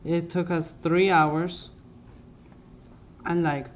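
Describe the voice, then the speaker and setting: A young man casually narrating his life story over drawings, halting often and correcting himself as he goes. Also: fairly high pitch, level tone, a little hiss or hum. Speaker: vlogger.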